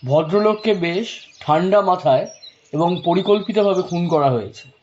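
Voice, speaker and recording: Male actor as young doctor, high-pitched voice, formal, emphatic, grave, dull recording, birdsong behind. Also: normal speed, darkish tone, natural voice. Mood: grave, certain.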